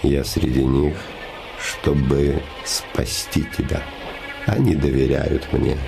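A man's voice: rumbling low, fairly even intonation, takes it slowly, gravelly, exaggerated voice. An actor playing a man's scheming living shadow that poses as a devoted friend, insinuating, solemn and emphatic, in a theatrical fairy-tale play. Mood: confiding. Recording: music behind the voice.

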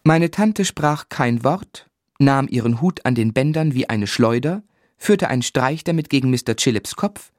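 A man's voice: medium pitch, lively intonation, a little slow, no echo, clean studio sound, close to an everyday voice. An actor playing a grown man who recounts his childhood reflectively, as the narrator of a literary radio drama. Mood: wry storytelling. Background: music.

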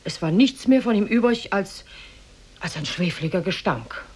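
A woman's voice: mid-range voice, lively intonation, normal speed, a little mellow, slightly rough. An actress in a 1950s radio play playing an anxious mother of a grown son, grave and resigned. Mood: grave, troubled.

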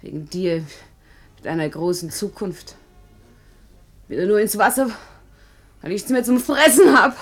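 An actress in a German radio drama playing a fragile, desperate young jilted woman, halting and often hushed to a whisper. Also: medium pitch, soft background music, lightly acted. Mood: bitter, defiant.

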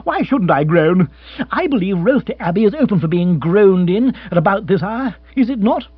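A man's voice: high-pitched, sing-song, moderate pace, breathy edge, pitched above the performer's own voice, heavily acted. A man voicing an exasperated young lord in a comic audiobook, full of blustering, exclamatory upper-class slang. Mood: indignant. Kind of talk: comic audiobook character acting. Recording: muffled, echoey recording with hum.

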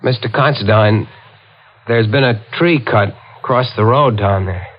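An actor playing a steady, protective brother, guarded and plain-spoken, in a 1940s radio thriller. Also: male voice, on the low side, measured pace, close to an everyday voice. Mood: polite unease. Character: steady, protective brother, a stranded young motorist. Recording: dull, hissy 1940s radio recording.

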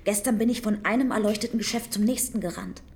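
A woman's voice: medium pitch, fast, a little mellow, slight background noise, lightly acted. An actress playing an anxious, uneasy townswoman in a radio drama dialogue. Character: anxious townswoman afraid of a murderer at large.

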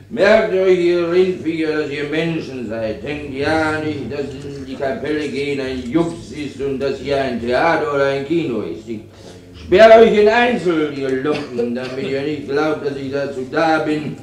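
An actor playing a drunken army chaplain in a radio play, rambling and abusive, swinging between barked orders and maudlin piety. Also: male voice, mid-range voice, unhurried, darkish tone, slight background noise, lightly acted. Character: drunken, bullying army chaplain preaching to prisoners. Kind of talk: German radio play sermon. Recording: echoey chapel-like room.

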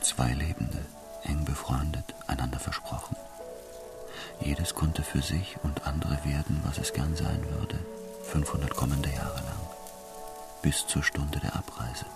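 Very deep, gravelly male narrator. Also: level tone, a little slow. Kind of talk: scripted literary radio drama narration. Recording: loud music behind voice.

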